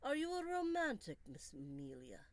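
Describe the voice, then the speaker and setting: A woman voicing a charming, intense Victorian gentleman in a storybook, courtly, romantic and deliberate. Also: sits high, wildly swooping pitch, pitched above the performer's own voice. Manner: courtly, deliberate, sometimes hushed.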